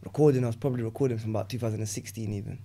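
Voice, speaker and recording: A young man from West London with a London accent, a rapper chatting as a podcast guest, relaxed and rambling, often asking "you know what I'm saying". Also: on the low side, racing, vocal fry. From West London, England. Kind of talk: casual podcast chat.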